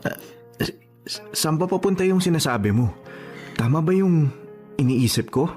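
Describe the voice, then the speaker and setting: A man's voice: on the high side, expressive, moderate pace, darkish tone, lightly acted. An actor with a Filipino accent playing an eager, boyish young man in love in a radio drama, pleading and questioning. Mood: stunned excitement. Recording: music under the voice.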